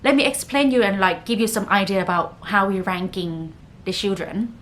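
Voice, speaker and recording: A woman's voice: medium pitch, animated, normal speed, a bit bright. Chatty Thai-accented woman podcaster. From northern Thailand. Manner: chatty, leans on frequent "like" fillers. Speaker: podcast host. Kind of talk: casual solo podcast talk.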